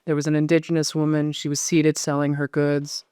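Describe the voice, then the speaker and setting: A woman's voice: low voice, completely flat delivery, a little fast, dark tone, clear, resonant tone, no room sound, clean studio sound. A middle-aged American woman talking as an interview guest in a thoughtful, self-questioning, digressive way. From United States. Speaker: novelist, podcast guest. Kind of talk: reflective conversational interview.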